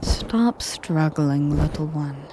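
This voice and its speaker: A woman acts out an imperious dragoness who treats the listener as her possession. She speaks very slowly and formally, in a lowish, breathy voice with cruel command. It is an intimate audio roleplay over clearly noisy ambience. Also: animated. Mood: cold, threatening. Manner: measured, commanding, breathy delivery with formal wording. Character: sadistic, possessive, imperious female dragon kidnapper.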